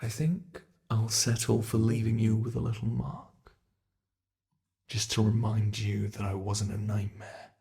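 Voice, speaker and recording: An ancient monster , acted out by a man, toys sadistically with human prey in an ASMR roleplay. The voice creaks with fry and takes its time over every threat. The delivery is theatrical and teasing, dropping into breathy, menacing whispers. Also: lowish voice, completely flat delivery, measured pace, mellow. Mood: sinister, playful. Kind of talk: ASMR roleplay, scripted monologue.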